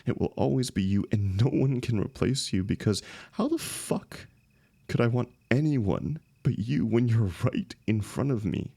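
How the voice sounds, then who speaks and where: A man acting a lovelorn young heartbreaker in an intimate, emotional audio roleplay, speaking haltingly and rawly to a longtime friend. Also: mid-range voice, animated, creaky, dark tone, breathy edge, no room sound, full character voice. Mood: desperate, heartbroken. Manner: halting, stammering, swearing, emotionally raw.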